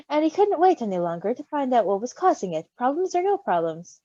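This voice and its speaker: Woman reading storytime, high lively voice, bit muffled, giggly comments. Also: normal speed, no room sound. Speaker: story reader. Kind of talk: animated storytime narration with casual asides. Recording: slightly dull, close dry recording.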